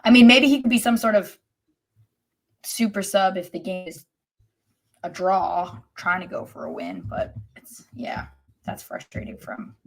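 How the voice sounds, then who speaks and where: A woman's voice: lowish voice, poor audio. A woman on a podcast panel in casual sports chat, tentatively thinking aloud as she speaks.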